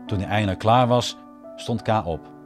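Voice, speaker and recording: A man's voice: on the low side, moderate pace, lightly acted. A man narrating a radio play from a script, as storyteller. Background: faint music.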